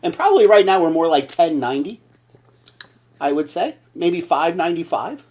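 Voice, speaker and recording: A man's voice: a little high, sing-song, normal speed, darkish tone. A middle-aged man hosting a casual comedy chat show, blunt and joking as he rambles. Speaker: host.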